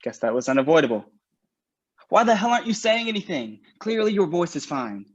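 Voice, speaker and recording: A man's voice: high-pitched, very animated, a little fast, husky, full character voice. A man reading the part of a sarcastic groom on his wedding day in a staged play reading over a video call. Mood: angry. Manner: sharp, sarcastic, swears often. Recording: muffled video call audio.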